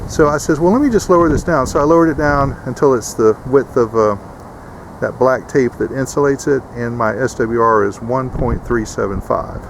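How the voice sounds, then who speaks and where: A man's voice: medium pitch, moderate pace, vocal fry, low-quality recording. Folksy guy doing a casual how-to vlog, plain talk, some background noise. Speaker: host of homesteading video channel.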